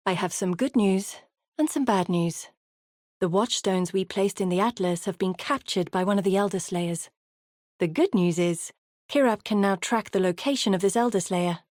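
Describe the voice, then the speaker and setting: An actress voicing an earnest, guilt-haunted woman explorer and guide in a fantasy video game, speaking in a grave, measured, confiding way. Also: mid-range voice, darkish tone, dry recording, studio quality, natural voice. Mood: resolute. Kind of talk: scripted dramatic game dialogue.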